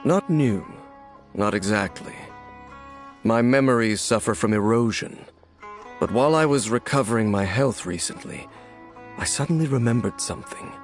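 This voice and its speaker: An actor playing a stern, world-weary ancient warrior in a video game, grave, measured and formal, with a gravelly voice. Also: male voice, faint music behind, close to an everyday voice. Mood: brooding, reflective. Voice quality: low, gravelly.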